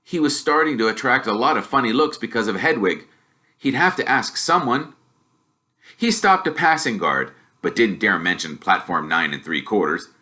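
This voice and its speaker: Male storybook reader, expressive narration, very bright tone, animated pitch movement. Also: normal speed.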